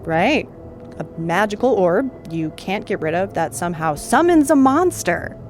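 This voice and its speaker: An actress voicing a sharp young woman who doubts every word she hears, teasing and dry in an audio drama. Soft music sits under her lines. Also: mid-range voice, very animated, measured pace. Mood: skeptical. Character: skeptical, teasing young woman, a colleague and partner.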